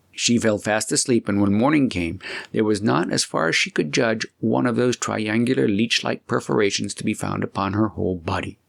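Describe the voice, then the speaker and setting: A man reading a storybook aloud as an audiobook narrator, in an expressive, deliberate way. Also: mid-range voice, gravelly, no echo, studio quality.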